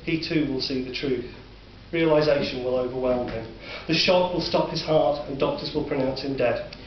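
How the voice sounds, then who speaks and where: A man with a Northern English accent narrating a dark story in a measured, sombre way. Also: mid-range voice. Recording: distant, roomy, dull recording with faint hiss.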